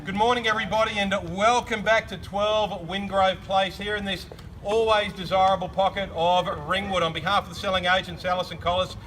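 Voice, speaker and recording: Loud, bright Australian auctioneer outdoors. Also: male voice, sits high, flat delivery, brisk, slight background noise. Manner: loud, projecting, formal salesman patter. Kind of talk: outdoor property auction spiel. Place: outdoors on a suburban street.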